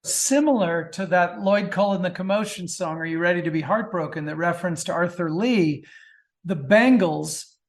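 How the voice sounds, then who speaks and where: High-voiced enthusiastic man. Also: moderate pace. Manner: enthusiastic, digressive. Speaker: guest author. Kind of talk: casual interview chat.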